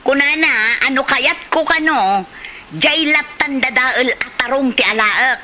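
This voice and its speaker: Woman acting a touchy, high-voiced Ilocano housewife. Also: unhurried, sharp tone, a little hiss or hum, rough recording. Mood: indignant. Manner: indignant, complaining. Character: touchy, haggling, grudge-holding village housewife. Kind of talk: Ilocano comedy drama acting.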